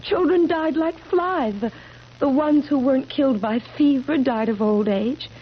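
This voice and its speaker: A haunted, ageless wife in vintage radio drama. Her high voice is defiant and theatrical, heard through a muffled broadcast with faint noise. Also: a little slow. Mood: grieving, bitter. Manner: theatrical, emphatic. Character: haunted, defiant ageless wife who looks over thirty. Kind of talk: old-time radio drama acting.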